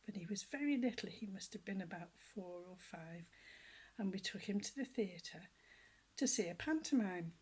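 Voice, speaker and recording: Breathy older woman reading a story. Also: mid-range voice, moderate pace. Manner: gentle, animated storytelling, drops to soft whispers; talks directly to young listeners with questions. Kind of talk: children's storybook reading with personal asides.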